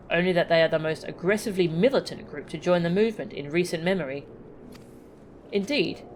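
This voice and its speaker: A woman's voice: lowish voice, a little hiss or hum, lightly acted, poor audio. Woman voicing an earnest, formal, scholarly game companion. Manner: formal, archaic, measured. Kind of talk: reading video game dialogue aloud.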